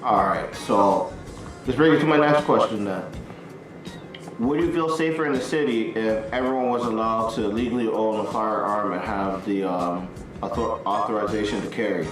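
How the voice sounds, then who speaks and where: A man's voice: fairly low pitch, normal speed, over music. A man with a Toronto accent hosting a casual debate podcast, talking loose and slangy and asking pointed questions. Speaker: podcast host. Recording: echoey room, mic far off.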